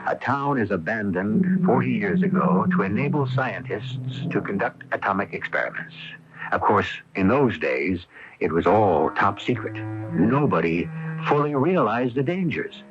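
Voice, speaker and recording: Male radio mystery host: low voice, dramatic narration, music behind, muffled broadcast. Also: sing-song, unhurried.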